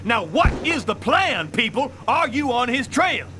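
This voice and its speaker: An actor playing an angry, sarcastic police captain who barks demands in a video game drama. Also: male voice, very high voice, big pitch swings, a little slow, pushed and bright, a little hiss or hum, full character voice. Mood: furious. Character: irate, blustering police captain, a man, gruff and sarcastic.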